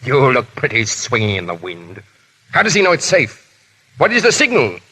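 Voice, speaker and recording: An actor playing a stern, sardonic French Revolutionary agent in a formal, commanding manner in a 1930s radio drama. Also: male voice, mid-range voice, huge pitch swings, normal speed, pushed and bright, husky, full character voice. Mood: harsh, threatening. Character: stern, menacing male French Revolutionary agent, coolly triumphant. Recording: muffled-edged vintage 1930s radio broadcast.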